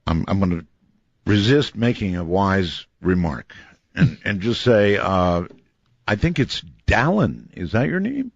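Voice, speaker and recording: A male late-night radio host with a bouncing, animated voice that swings up and down as he teases and restarts his sentences. The studio sound is close and dry, a little dull in the highs, like an old broadcast tape. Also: unhurried, no echo. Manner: lively, teasing, stops and restarts often. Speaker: radio talk show host.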